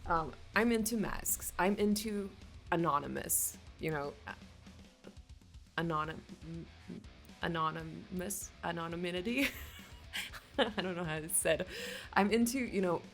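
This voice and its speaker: A woman's voice: lowish voice, a little slow, pauses often, faint music behind. A woman from Finland with a Finland-Swedish accent and Swedish as her first language, gushing with enthusiasm as she hosts casual reaction commentary. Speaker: reaction video host.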